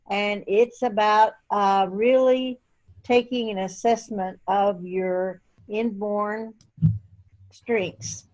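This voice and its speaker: A woman in her eighties on a video call, chatting casually and meandering through her thoughts with frequent pauses. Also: mid-range voice, a little mellow, poor audio. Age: 80s. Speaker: call participant.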